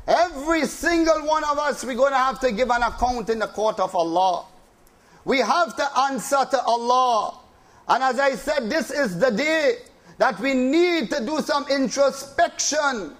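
An impassioned male preacher with a strikingly high voice. He builds his sermon on rhetorical questions and slow, weighty repetition, breaking into quick chanted recitation of Arabic verses. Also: fairly bright tone. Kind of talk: Islamic sermon.

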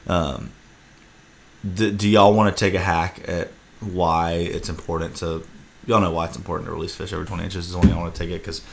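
A young man, hosting a casual podcast as a fishing guide, talking in a relaxed, rambling way over a video call from an indoor room. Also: low-pitched, a little fast, creaky, a little hiss or hum. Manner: relaxed, rambling, talks through restarts.

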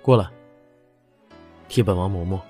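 Man acting a low, husky, aloof prince. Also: mellow, soft background music. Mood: cold, curt. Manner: terse, unhurried. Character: cold, aloof, commanding prince of few words. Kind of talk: audio drama acting.

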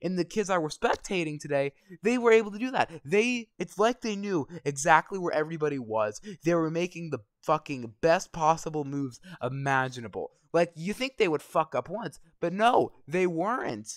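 Young guy ranting about a game, cussing nonstop, bit hoarse, kinda high voice, dry mic. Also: lively intonation, moderate pace, few pauses, no room sound. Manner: angry, swearing constantly, rambling. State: voice going hoarse. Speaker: gaming vlogger. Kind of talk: profane ranting commentary over gameplay.

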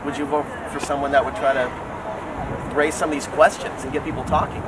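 A man's voice: mid-range voice, flat delivery, fairly quick, recorded from a distance, very noisy. A man making earnest, argumentative political points in the street, recorded outdoors on a handheld camera. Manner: insistent, argumentative. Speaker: city council candidate and local TV show host. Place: outdoors on a city street at night. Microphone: handheld camera a step or two away. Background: city street ambience and vehicles.

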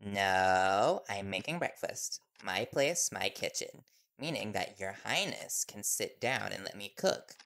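A man's voice: lowish voice, lively intonation, slow pace, creaky, a bit bright, slight background noise, heavily acted. A man playing an easygoing, teasing young reporter boyfriend in an audio roleplay, talking casually and with playful stubbornness. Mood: playful, fond.

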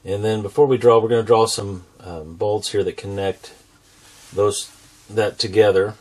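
A man's voice: on the low side, fairly even intonation, moderate pace, darkish tone. An American man in his forties hosts a drawing tutorial, explaining each step simply and patiently. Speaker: drawing instructor and show host.

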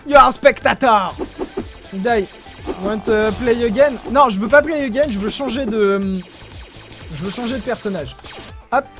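A young man giving excited commentary over his own gameplay, exclaiming often and talking straight to his viewers, recorded on a desk mic with headphones on. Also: sits high. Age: young adult. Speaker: gaming video creator.

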